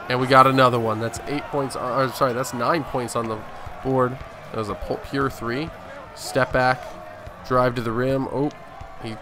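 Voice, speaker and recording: Male gaming host, mid-pitched, cocky casual play-by-play of his own moves, noticeable game noise behind. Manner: boastful, narrating each move. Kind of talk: casual gameplay commentary.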